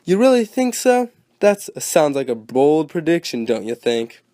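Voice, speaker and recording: A man's voice: a little high, big pitch swings, measured pace, warm tone, dry recording. A man playing an eager, teasing 1920s radio sports co-host, peppy and earnest, full of period slang.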